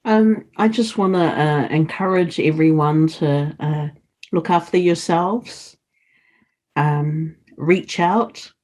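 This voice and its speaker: A woman's voice: deep voice, takes it slowly, a little mellow. A woman who speaks English as a second language, talking as a podcast guest in an earnest, encouraging way. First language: non-English first language. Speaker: podcast guest, community leader. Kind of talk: casual interview talk.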